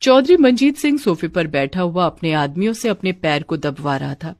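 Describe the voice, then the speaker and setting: A woman narrator tells a cartoon folk story with a very animated, swinging delivery. Her voice sits slightly low, and the recording is close and dry. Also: moderate pace, no echo.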